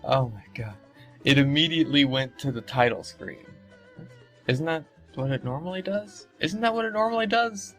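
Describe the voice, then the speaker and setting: A man's voice: a little high, expressive, moderate pace, fairly bright tone. Sarcastic man reading aloud over music. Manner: sarcastic, incredulous, profane. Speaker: host reading and mocking internet horror stories.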